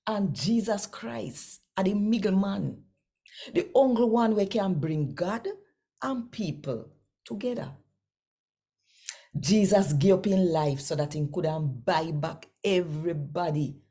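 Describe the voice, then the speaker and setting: A woman with a Jamaican accent reads scripture conversationally as host, speaking deliberately and directly to her listeners. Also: medium pitch, mellow, slightly rough.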